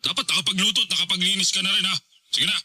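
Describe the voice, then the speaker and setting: An actor playing a hungover, bossy boyfriend who gives curt orders over the phone in a radio drama. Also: male voice, high-pitched, steady tone, very fast, sharp tone, slightly rough, full character voice.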